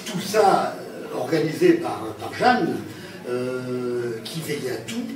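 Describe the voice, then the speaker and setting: A man's voice: medium pitch, lively intonation, takes it slowly, darkish tone. A man recalling an actor at a panel in a classroom, talking in a hesitant way with many fillers and restarts. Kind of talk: anecdotal conversation. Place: classroom with chalkboard, indoors. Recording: echoey room, distant mic, audience noise.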